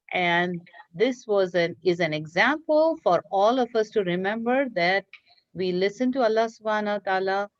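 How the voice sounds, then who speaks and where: Slow-paced woman teaching children, echoey lo-fi sound. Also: medium pitch. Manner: encouraging, praising young pupils. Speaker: children's religious school teacher leading a craft class. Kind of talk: interactive online children's lesson. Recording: dull lo-fi online call, echoey room.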